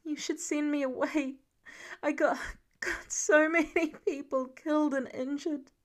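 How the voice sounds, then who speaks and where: A woman voicing a frightened, guilt-ridden teenage boy with a halting, pleading manner in an audiobook story. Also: high-pitched, fairly even intonation, voice pushed up. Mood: anguished.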